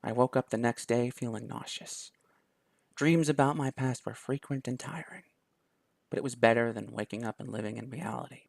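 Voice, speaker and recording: A man reading a visual novel aloud in a quiet, even storytelling voice. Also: mid-range voice, normal speed, gravelly, no echo.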